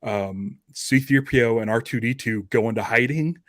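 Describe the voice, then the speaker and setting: A man hosting a podcast in an easy conversational style. His voice sits at an average pitch and is recorded dry, with hardly any room sound. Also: moderate pace, a bit bright, dry recording. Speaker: podcast host. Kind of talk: casual podcast chat.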